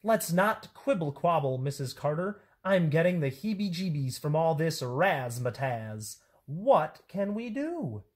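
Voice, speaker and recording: A woman's voice: sits low, very animated, a little slow, few pauses, a little mellow, pitched above the performer's own voice. A woman voicing a flustered, dramatic female schoolteacher who exclaims in silly rhymes. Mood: jittery.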